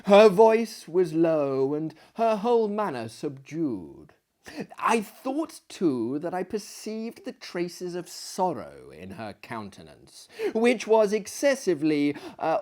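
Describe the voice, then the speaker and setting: A man in his thirties reading a literary tale aloud in a theatrical, measured way with marked pauses. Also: on the high side, a little mellow. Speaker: performer reading a story aloud. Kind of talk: dramatic storytelling, reading a literary short story. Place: small room with armchair and bookshelves, indoors.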